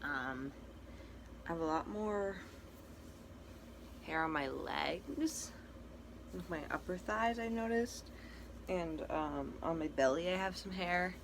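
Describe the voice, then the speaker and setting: A young man with a cracky, breaking voice, giving a casual personal update in a halting, soft-spoken way. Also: on the high side, animated, gravelly. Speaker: vlogger. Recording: noticeable background noise.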